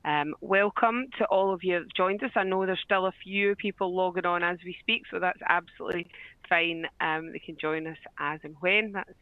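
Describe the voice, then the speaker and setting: A woman's voice: lowish voice, fairly even intonation, normal speed, no room sound. A woman hosting an online business webinar, chatty and full of fillers over a video call. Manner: chatty, many fillers and restarts. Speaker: webinar host. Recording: tinny, dry phone-like line.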